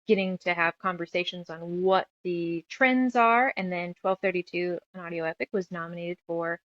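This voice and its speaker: A woman's voice: fairly low pitch, normal speed, a bit bright, no echo, dull audio. A woman from the United States speaking as a creative guest in a casual interview, talkative and reflective as she rambles through her thoughts. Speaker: guest, author, musician and audio drama creator.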